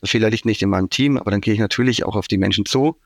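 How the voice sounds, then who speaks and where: A fairly low-voiced man with a Franconian German accent talks as a guest in an interview, explaining at length and filling his pauses with ums. His voice is recorded close and dry, with no room sound. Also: no echo. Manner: explains at length with frequent fillers. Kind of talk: casual business interview.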